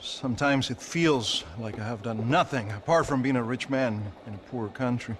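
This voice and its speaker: A man's voice: mid-range voice, moderate pace, a bit bright, a little hiss or hum, close to an everyday voice. Actor as a weary, embittered rich Brazilian businessman. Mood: weary, self-pitying. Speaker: wealthy businessman client. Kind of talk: cinematic video game acting. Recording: music behind the voice.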